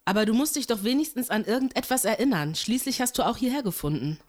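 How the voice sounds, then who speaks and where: A woman playing a sassy, exasperated friend of an agent in a horror radio play, expressive and incredulous. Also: fairly high pitch, quick pace. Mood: concerned, probing.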